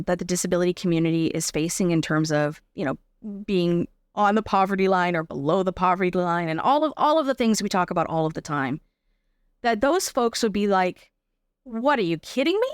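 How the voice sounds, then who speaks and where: A woman's voice: fairly low pitch, clean tone, dry recording, clean studio sound. A woman with a Canadian accent speaking as an interview guest in a casual, reflective way, informal and thoughtful as she explains things. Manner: informal, thoughtful, explains through examples and rhetorical questions.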